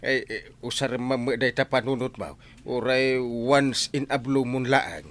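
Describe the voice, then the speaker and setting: A man's voice: medium pitch, fairly even intonation, a little slow, fairly bright tone, close to an everyday voice. A man acting a jittery, easily frightened character in a comedic audio drama, stammering and repeating syllables.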